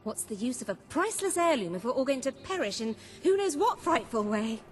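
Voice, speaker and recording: A woman's voice: high-pitched, sing-song, a little fast. An actress playing a wealthy, haughty noblewoman in a video game, with a gushing, melodramatic manner. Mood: gushing indignation. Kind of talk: theatrical video game acting.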